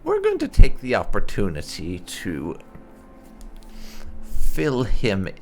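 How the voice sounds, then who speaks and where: Brief: male game-roleplay host, wry and opinionated, gravelly low voice, very animated pitch, faint game music behind. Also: takes it slowly. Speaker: gaming channel host. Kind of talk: roleplay gameplay commentary.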